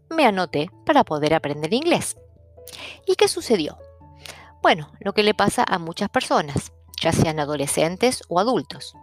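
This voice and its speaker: Argentine lady talking slow and calm, kinda low voice, soft music in the back. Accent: Argentine Spanish. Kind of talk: personal talk on stuttering and languages.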